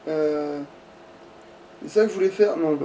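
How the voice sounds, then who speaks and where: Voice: mid-range voice, measured pace, warm tone, far from the mic, a little hiss or hum, low-quality recording. A gamer giving casual, grumbling, sweary commentary while playing a video game.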